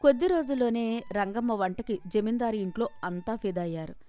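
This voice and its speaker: A woman's voice: on the high side, brisk, warm tone, faint music behind. A woman storyteller reading scripted narration for a moral story.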